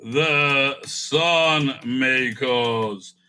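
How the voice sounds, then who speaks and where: A man hosting a casual, off-the-cuff ranking commentary, animated and thinking aloud with frequent asides. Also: mid-range voice, very slow, smooth. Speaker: host ranking TV serials.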